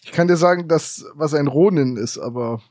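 A man's voice: fairly high pitch, moderate pace, darkish tone, dry recording. A man with a slightly raised voice cuts in with short, dry remarks and questions. The recording is close and dry. He keeps a casual, conversational tone. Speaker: podcast co-host. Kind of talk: casual banter.

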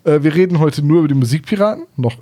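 A man's voice: fairly high pitch, lively intonation, very fast, warm tone, breathy edge. A man in his thirties chatting casually as a podcast co-host, explaining things in careful detail. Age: 30s. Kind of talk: casual discussion of audio dramas and books.

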